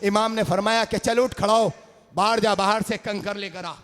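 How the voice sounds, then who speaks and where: High-voiced man preaching in a reverberant hall. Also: steady tone, normal speed, clean tone. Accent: Urdu. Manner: rises to shouts and sung phrases, calls on the audience. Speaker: majlis orator. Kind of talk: Urdu majlis sermon with chanted delivery. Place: large religious gathering hall.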